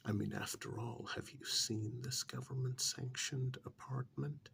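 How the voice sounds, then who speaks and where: A man playing a smug, pampered captive in a soft-spoken ASMR role-play, drawling sardonically with long pauses and feigned innocence. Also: mid-range voice, steady tone, a little hiss or hum. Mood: sardonic, smug. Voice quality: soft, half-whispered, gravelly.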